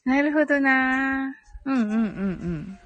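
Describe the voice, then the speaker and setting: A woman's voice: high voice, expressive, measured pace, fairly bright tone, soft background music. A woman hosting a casual live audio chat, giggly and chatty, reading out comments and murmuring agreement. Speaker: host of a live audio stream.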